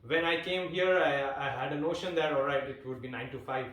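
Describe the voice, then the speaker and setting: A man in his twenties from India, chatting casually as an interviewee, relaxed and hesitant with lots of fillers and restarts. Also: on the high side, fairly quick, far from the mic. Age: 20s. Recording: echoey room, voice off-mic.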